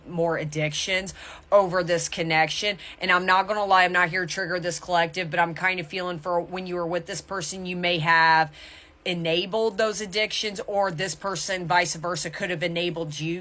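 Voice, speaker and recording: Low-pitched woman giving a casual tarot reading. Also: bright, forceful tone. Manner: candid, conversational, emphatic asides. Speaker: tarot reader. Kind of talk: casual intuitive reading addressed to viewers.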